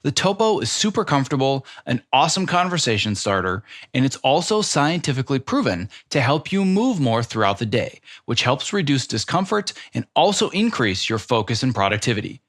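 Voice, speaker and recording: A man's voice: medium pitch, very animated, fairly quick, hardly any pauses, fairly bright tone, no echo, clean studio sound. A man hosting a podcast, reading a sponsor spot and chatting eagerly with his guest. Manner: enthusiastic, chatty, with fillers. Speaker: podcast host. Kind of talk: podcast interview and sponsor read.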